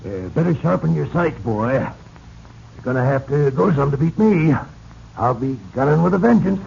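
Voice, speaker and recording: A man's voice: on the high side, expressive, normal speed, vocal fry, darkish tone. An actor playing a hearty, boastful older businessman in a 1940s radio drama, with a jovial, avuncular manner. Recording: dull, noisy 1940s radio recording.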